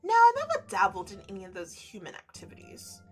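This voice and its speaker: A woman voicing a reserved, serene male winter spirit in a romance game, speaking in a measured, earnest, formal way. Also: mid-range voice, huge pitch swings, soft background music, voice pushed down. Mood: calm, matter-of-fact.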